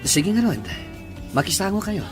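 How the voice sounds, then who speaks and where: A man's voice: sits high, big pitch swings, moderate pace, music in the background. A man playing an easygoing drinking buddy in an Ilocano audio drama.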